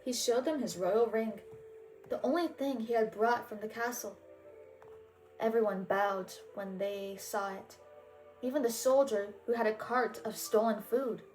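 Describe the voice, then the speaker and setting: A woman narrates a children's storybook in a fairly high voice. Her reading is very unhurried and expressive, with music playing behind her. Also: low-quality recording. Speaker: storybook reader and channel host. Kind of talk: storybook read-aloud narration.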